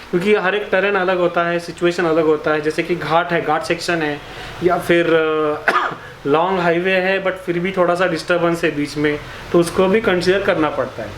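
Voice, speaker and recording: A man's voice: sits high, fairly even intonation, moderate pace. A man with an Indian accent explaining a product informally as a store representative, hesitant, switching in and out of English. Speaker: motorcycle gear store representative. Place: motorcycle accessories store. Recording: roomy echo, faint background noise.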